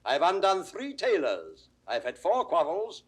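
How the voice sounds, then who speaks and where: A British actor playing a witty, mock-learned court jester in a Shakespeare audio drama, with mock-formal, theatrical delivery. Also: male voice, sits high, wildly swooping pitch, a little slow, breathy edge, heavily acted. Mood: jaunty, droll.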